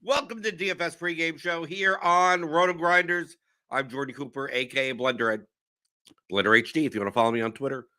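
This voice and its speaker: A young man, hoarse with a sore throat, hosting a casual fantasy sports livestream, rambling and cutting himself off. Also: fairly high pitch, a little fast. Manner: animated, rambling, self-interrupting. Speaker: host of a fantasy sports stream.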